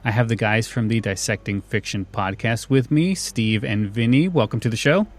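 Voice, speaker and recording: A man's voice: on the low side, normal speed. A man hosting a podcast in a casual, chatty style. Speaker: podcast host.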